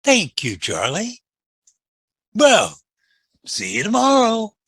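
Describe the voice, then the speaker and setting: A man's voice: high-pitched, wildly swooping pitch, takes it slowly, fairly bright tone, husky, no room sound, clean studio sound, exaggerated voice. A man playing a meek, folksy assistant to an Old West marshal in a radio western, with a hesitant, stammering, deferential delivery. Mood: polite. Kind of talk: old-time western radio drama acting.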